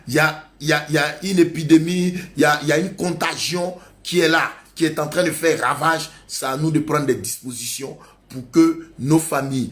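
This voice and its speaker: A male pastor preaching a sermon, urging his congregation with emphatic, repetitive exhortations. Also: a little high.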